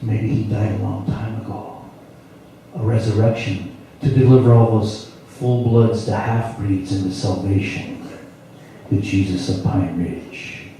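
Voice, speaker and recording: A man from South Dakota performing a spoken-word story live, with a wry, dramatic delivery that swings from loud to hushed. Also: on the low side, fairly even intonation, measured pace, slight background noise. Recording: echoey room from far off.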